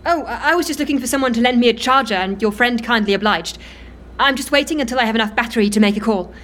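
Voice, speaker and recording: A woman's voice: fairly high pitch, fast talker, a bit bright, lightly acted. An actress playing a polite, timid, flustered young woman in an audio drama, hesitant and apologetic.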